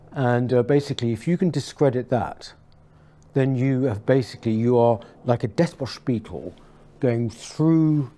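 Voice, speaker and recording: A middle-aged man on a studio panel, speaking earnestly and emphatically with halting restarts. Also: medium pitch, mellow. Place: small studio set with armchairs. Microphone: lapel mic.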